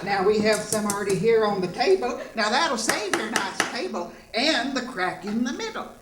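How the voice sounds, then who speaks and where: A folksy grandmother played by a stage performer rambles through a homespun cooking pitch, pausing and drifting as she goes. The voice sits at a middling pitch, somewhat far from the mic, in an echoey theatre with loud noise. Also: expressive, lightly acted. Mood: rambling, folksy. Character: folksy old grandma pitching sponsor baking products. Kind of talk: old-time variety show sketch, homespun cooking demonstration.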